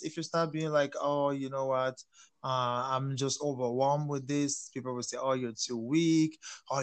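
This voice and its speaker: A young man from Cameroon hosting a casual chat, animated and laughing often as he questions his guests. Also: medium pitch. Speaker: host.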